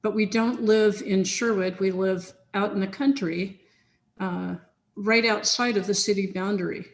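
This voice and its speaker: A woman's voice: medium pitch, steady tone, a little slow. A woman chatting casually over a video call from her home office, rambling with lots of fillers and restarts. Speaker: software team researcher in a chain conversation. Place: home office with big sunny windows. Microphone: computer on a video call.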